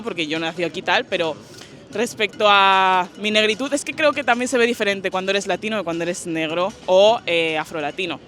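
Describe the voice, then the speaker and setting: A woman's voice: mid-range voice, bright, forceful tone. A young woman from Spain answering street interview questions in a thoughtful, casual and slangy way. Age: young adult.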